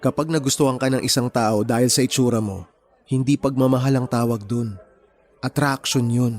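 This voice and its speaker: An actor playing a kind, principled young man in a Tagalog radio romance, speaking gently and earnestly. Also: medium pitch, steady tone, moderate pace, soft background music, close to an everyday voice. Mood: gentle, earnest.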